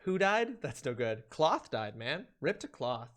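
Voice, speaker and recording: A man's voice: mid-range voice, sing-song, unhurried. A man hosting a stream, giving a playful, theatrical reading of a comedic ranked list with emphatic delivery. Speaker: streamer, host.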